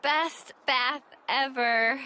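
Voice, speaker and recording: An actress voicing a perky, loyal young girl soldier in a video game cutscene, with a bouncy, expressive and informal delivery. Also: high-pitched, very slow, heavily acted, poor audio. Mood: cheerful, friendly.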